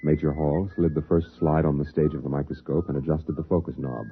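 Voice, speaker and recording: Radio narrator, very low voice, grave scripted delivery, 1950s science fiction drama, lo-fi sound. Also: male voice, level tone, fairly quick, slight background noise. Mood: grave, measured. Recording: dull lo-fi 1950s radio recording.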